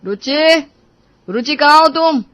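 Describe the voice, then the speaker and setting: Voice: medium pitch, brisk, a bit bright, no echo, close to an everyday voice. A performer voicing a sulky hot-tempered young husband. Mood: angry. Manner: sulky, irritable.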